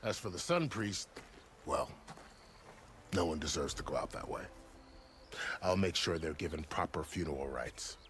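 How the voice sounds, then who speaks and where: An actor playing a seasoned, gracious frontier military commander in a video game, speaking in a measured, formal, matter-of-fact way. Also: male voice, low voice, expressive, fairly bright tone, a little hiss or hum. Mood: steady, courteous. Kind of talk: video game dialogue acting.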